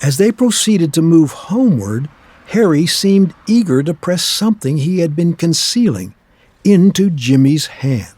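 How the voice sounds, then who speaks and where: A man narrating a scripted radio play in a measured storytelling manner. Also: on the high side, expressive, a little mellow, husky.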